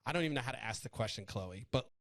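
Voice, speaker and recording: A man's voice: medium pitch, lively intonation, very fast, fairly bright tone. A man hosting a casual interview, asking curious questions and sometimes searching for his words. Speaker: podcast host.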